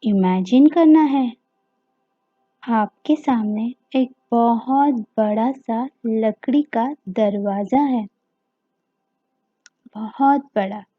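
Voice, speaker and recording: Indian woman, children's meditation narrator, fairly high voice, extremely unhurried pace, gentle phrasing, music behind. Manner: gentle, simple instructions with deliberate pauses, addressing children. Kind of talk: guided meditation storytelling. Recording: dull lo-fi audio with music behind.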